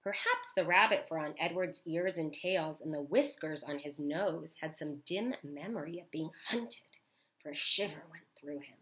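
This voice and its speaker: A woman's voice: deep voice, moderate pace, a bit bright, poor audio. A woman teacher narrating a children's story expressively, with asides and guiding questions for her listeners. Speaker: elementary school teacher.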